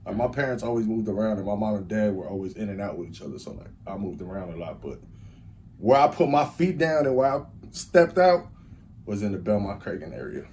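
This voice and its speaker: A young man with a Chicago accent, a rapper and engineer, telling stories in a rambling, animated way as an interview guest. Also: lowish voice, brisk, slight background noise. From Chicago, USA. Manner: rambling, animated storytelling with lots of "like". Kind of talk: casual storytelling interview.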